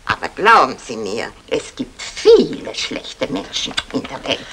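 Fussy lonely older neighbour lady, overpolite and fluttery, very lively pitch, old hissy radio play. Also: unhurried, exaggerated voice. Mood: confiding. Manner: fussy, hesitant, over-polite. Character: chatty, flustered, lonely older neighbour woman, smitten and nervous. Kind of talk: German radio crime comedy acting, 1960s. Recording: noisy old 1960 radio recording.

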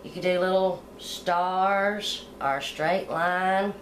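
A middle-aged woman hosting a cooking channel, explaining step by step how to decorate a sugar egg at home in a relaxed, homey way. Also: lowish voice, slow pace, reverberant, slight background noise. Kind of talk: hands-on decorating tutorial.

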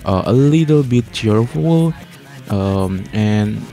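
A man hosting a countdown review as a voice-over, speaking haltingly and stretching key words. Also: on the low side, expressive, warm tone. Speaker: host of an anime music ranking channel. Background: music playing under the voice.

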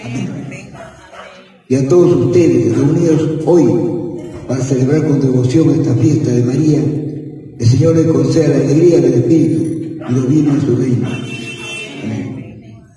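Older priest reciting a solemn mass. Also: male voice, medium pitch, normal speed, pauses often, mellow, soft background music. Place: large tented outdoor patio. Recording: roomy, distant camera audio.

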